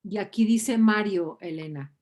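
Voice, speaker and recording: A woman's voice: mid-range voice, measured pace, a little mellow. Woman thinking aloud in class discussion. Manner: thoughtful, conversational, questioning. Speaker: co-facilitator reading chat comments.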